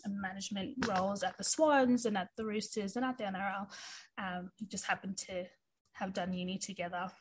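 A woman in her early thirties, chatting openly as a podcast guest. Her pitch sits in the middle and her talk runs loose and friendly, full of "um"s and restarts. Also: fairly even intonation, a little fast, low-quality recording. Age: 30s. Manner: chatty, many fillers, laughs easily. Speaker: interview guest. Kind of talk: casual interview.

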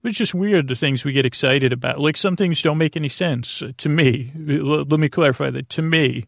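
Creaky-voiced man rambling casually. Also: a little high, no echo, clean studio sound. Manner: meandering and halting, trails off into tangents. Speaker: podcast host. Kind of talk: rambling, casual bedtime monologue.

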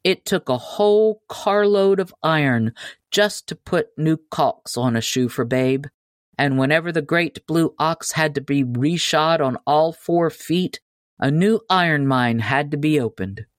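A woman's voice: low-pitched, a little slow, dry recording, clean studio sound. A woman reading a children's tall tale aloud as scripted storybook narration. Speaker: audiobook narrator.

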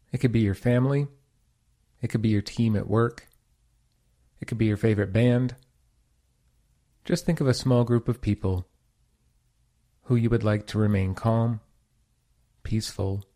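A man leading a guided meditation as host, giving slow, calm, soothing instruction in short phrases. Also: on the low side.

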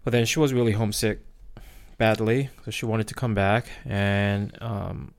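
A man with a Korean accent and native Korean, telling personal stories casually in a halting, reflective way, searching for words. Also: lowish voice, fairly even intonation, clear, resonant tone. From South Korea. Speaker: podcast host.

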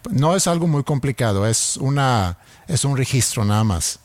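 A man whose first language is Swedish, telling a story in a relaxed podcast chat. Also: medium pitch, moderate pace, no echo.

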